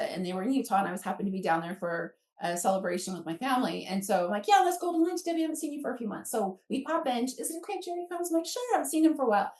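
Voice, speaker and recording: A middle-aged American woman speaking as a podcast guest in an animated, conversational storytelling way. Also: medium pitch. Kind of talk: casual chatty storytelling, with some reading aloud from a book. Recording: echoey room, distant from mic.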